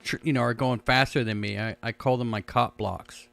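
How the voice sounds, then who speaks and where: A middle-aged man hosts a radio chat show with loose, easygoing banter, laughing often and stumbling over restarts. His voice is mid-pitched, and the sound is dry and close with no room echo. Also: fairly even intonation, moderate pace, creaky, fairly bright tone.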